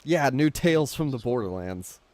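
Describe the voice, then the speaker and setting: A man's voice: medium pitch, expressive, normal speed, a little mellow. A man hosting a film podcast with casual, chatty talk.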